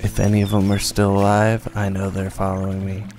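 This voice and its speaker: Man playing a deep, flat-voiced weary survivor. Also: brisk, faint music behind. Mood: grim, weary. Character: weary, bitter male survivor narrating his captivity. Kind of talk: post-apocalyptic radio play narration.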